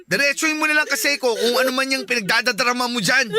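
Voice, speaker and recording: A man's voice: very high voice, fast talker, pushed and bright, exaggerated voice. A man playing a glib, dismissive cheating boyfriend in a radio drama, with a defensive manner. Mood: irritated. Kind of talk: Tagalog radio drama acting. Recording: music behind the voice.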